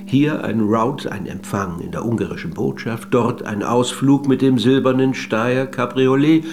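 An actor playing a courteous, probing author who interviews and narrates history in a scripted documentary radio drama, speaking with measured precision. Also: male voice, mid-range voice, a little mellow, faint music behind, close to an everyday voice.

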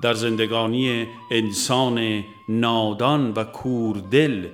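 A man with a medium-pitched voice reads a scripted spiritual audiobook. He is measured and solemn, with deliberate pauses between phrases. Faint music sits behind the narration. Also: steady tone.

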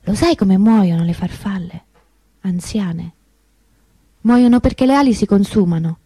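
An actress playing an anguished, stubbornly hopeful grieving sister in a theatrical radio monologue, intense and emotive with dramatic pauses. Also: female voice, mid-range voice, mellow, husky, no echo. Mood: sorrowful, hopeful.

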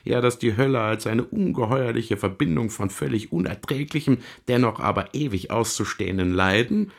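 An actor playing a sardonic, eloquent devil in a literary radio drama, gravelly and theatrical, savouring each word with mockery. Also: male voice, medium pitch, normal speed, close to an everyday voice. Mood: gloating. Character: sardonic, eloquent devil lecturing on Hell's torments.

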